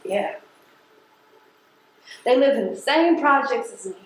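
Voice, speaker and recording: A woman's voice: high-pitched, lively intonation, slow pace. An actress on stage playing a defiant, embittered working-class woman postal carrier from the projects, speaking in an emphatic, confrontational, colloquial way. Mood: hurt, bitter. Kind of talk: stage play acting. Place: theatre stage. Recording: very echoey stage recording.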